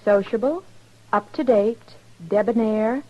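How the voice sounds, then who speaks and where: A woman playing a cheerful, sociable pitchwoman, poised and deliberate, in a vintage radio commercial. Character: bright, sociable young female soft-drink ad pitchwoman. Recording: dull vintage radio recording.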